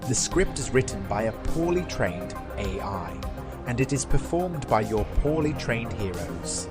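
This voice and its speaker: A man's voice: lowish voice, very animated, measured pace. A man playing a tongue-in-cheek, grandly heroic announcer for an audio drama. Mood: mock grand. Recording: announcer over loud music.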